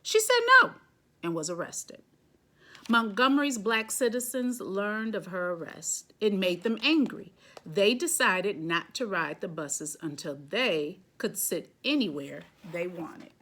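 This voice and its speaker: A woman reading a children's picture book aloud, phrasing deliberately and stressing key words. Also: mid-range voice, animated, bright, forceful tone.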